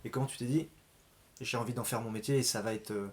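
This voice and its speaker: A podcast host interviewing a guest. The host asks long, rambling questions and hesitates often, in a relaxed tone. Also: mid-range voice, fast. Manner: hesitant, many fillers. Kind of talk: casual interview.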